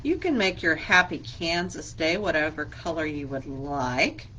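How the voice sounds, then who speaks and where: Woman children's librarian, slow and patient, slightly low voice, teacherly, faint hiss behind. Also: bright, forceful tone, rough recording. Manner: slow, patient, teacherly with simple questions to kids. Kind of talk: children's story time craft lesson.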